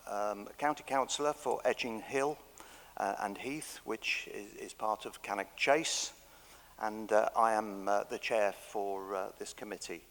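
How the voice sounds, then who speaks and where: Gravelly English man chairing a meeting. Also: on the low side, steady tone, a little slow, fairly bright tone. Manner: hesitant, many fillers. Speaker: committee chair. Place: council chamber. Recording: reverberant chamber, table mic.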